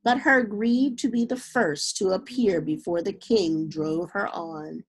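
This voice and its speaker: A woman reading a children's story aloud in an expressive, dramatic way with unhurried phrasing. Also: mid-range voice, slightly rough. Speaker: storybook reader.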